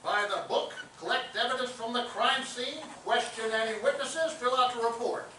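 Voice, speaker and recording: A man's voice: very high voice, fairly even intonation, normal speed, sharp tone. A man playing a wisecracking, lecherous, boozy police detective in a pompous, wordy comic stage style. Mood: jovial, boozy. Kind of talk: farcical comic stage acting. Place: theatre stage, staged reading. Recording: echoey theatre, distant mic, noisy.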